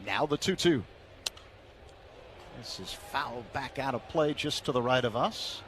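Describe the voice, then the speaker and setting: A man doing radio play-by-play of a baseball game, measured and rising in energy on big plays. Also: medium pitch, expressive, fairly bright tone, slight background noise. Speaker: play-by-play announcer.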